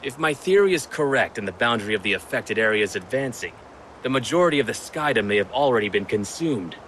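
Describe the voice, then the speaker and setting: An actor voicing a stern, sharp-minded video game hero, serious and suspicious, with a clipped, commanding manner. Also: male voice, medium pitch, animated, quick pace, background noise, natural voice. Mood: grave. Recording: music under the voice.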